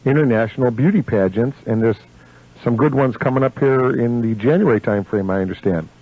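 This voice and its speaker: A man's voice: mid-range voice, a little fast, husky. A man hosting a live radio interview in a chatty, effusive and enthusiastic manner. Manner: effusive, full of enthusiastic compliments. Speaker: radio host. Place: radio studio. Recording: dull AM radio broadcast.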